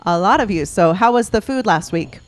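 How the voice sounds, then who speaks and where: A woman's voice: mid-range voice, lively intonation, brisk, no room sound. Young woman, cooking-demo host on a handheld mic, bubbly and quizzing, dry close sound. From United States. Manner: bubbly, prompting with questions. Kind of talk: live cooking demonstration. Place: ship's show kitchen before an audience. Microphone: handheld microphone.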